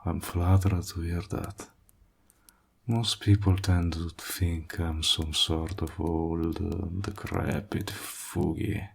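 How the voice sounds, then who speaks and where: A man playing a suave, courteous and flirtatious warlock with a sly edge, soft-spoken and deliberate, in an intimate audio roleplay. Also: low-pitched, full character voice. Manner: soft-spoken, breathy, slow and deliberate, flattering. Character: suave, courteous male warlock, hospitable, flirtatious, slyly menacing.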